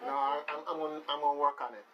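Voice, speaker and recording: A man with a Caribbean accent from the Virgin Islands hosts casual live radio, talking playfully and haltingly and repeating his phrases. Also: fairly high pitch, fairly even intonation, muffled recording, slight background noise. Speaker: radio show host. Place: radio studio with shared microphones.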